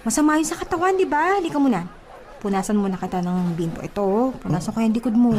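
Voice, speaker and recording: High, animated, flirty Filipina housemaid played by a woman. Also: quick pace, a little hiss or hum, lightly acted. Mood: coy, flirty. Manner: exaggerated, teasing, swinging between coy and scolding. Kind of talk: melodramatic Filipino radio-style comedy drama.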